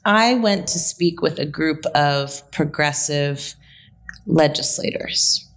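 A woman's voice: sits low, slow. A woman in her late thirties, a guest telling a story in a reflective, conversational way with frequent fillers. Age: late 30s. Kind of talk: conversational interview.

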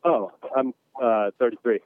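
A man's voice: mid-range voice, steady tone, moderate pace, dry recording. A man in his thirties calling in as a guest, modest and laid-back. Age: 30s. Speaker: guest caller. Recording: tinny phone line.

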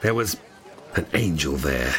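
Deep, brooding fallen demon. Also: male voice, lively intonation, measured pace, fairly bright tone, some chatter behind, slight background noise, full character voice. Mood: irritated, sardonic. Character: Abaddon, brooding sly fallen demon of destruction. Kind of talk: dramatic audio drama acting.

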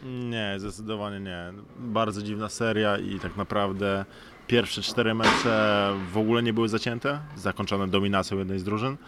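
Male athlete interviewee, lowish voice, halting casual analysis, faintly noisy recording. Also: fairly even intonation. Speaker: guest, professional basketball player. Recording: faint background noise and ambience.

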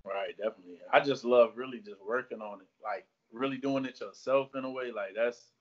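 A man's voice: mid-range voice, a little fast. A man from North Carolina joining a podcast by video call, talking in friendly, hyped slang. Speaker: podcast guest. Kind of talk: casual interview chat. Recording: muffled lo-fi call audio.

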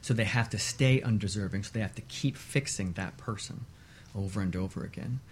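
A man speaking as an expert guest in a radio interview, explaining in a measured way with short repeated lists. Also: on the low side, a little mellow, slight background noise.

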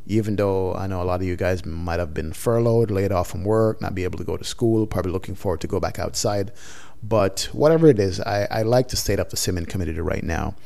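A man hosting a flight sim podcast, introducing the episode in a relaxed, chatty way with fillers. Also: deep voice, fast talker, gravelly. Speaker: podcast host. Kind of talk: podcast intro and casual chat.

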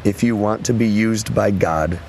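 A hoarse young man, a musician guest at a festival, chatting in a laid-back, rambling way and laughing often. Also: fairly low pitch, moderate pace, darkish tone, slight background noise. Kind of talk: casual interview chat. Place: outdoors at a festival.

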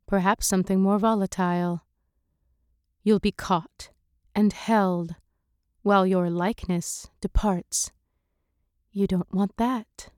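Unhurried, mellow female story narrator. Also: mid-range voice, no room sound, studio quality. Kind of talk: scripted literary fiction narration, second person.

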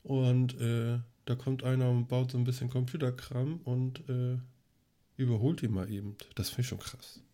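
A man's voice: mid-range voice, steady tone, moderate pace, dark tone. A man hosting a casual tech podcast, chatty and rambling, with a cold that makes him cough. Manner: chatty, rambling with many fillers. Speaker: podcast host. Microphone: studio microphone on a long cable.

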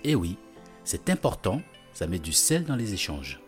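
A man's voice: low-pitched, big pitch swings, moderate pace, soft background music. A man hosting a food podcast, who narrates and interviews in a friendly, curious way. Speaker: host.

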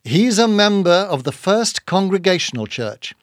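A man's voice: fairly high pitch, lively intonation, unhurried, no room sound, clean studio sound, pitched above the performer's own voice. A man voicing an earnest young sailor who is defending his friend in an audiobook reading. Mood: hesitant.

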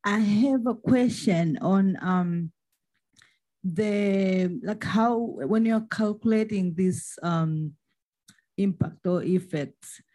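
A woman with a Tanzanian accent asking a question and commenting at a seminar, with hesitant, pause-filled phrasing. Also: medium pitch, dark tone. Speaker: social protection expert, audience member.

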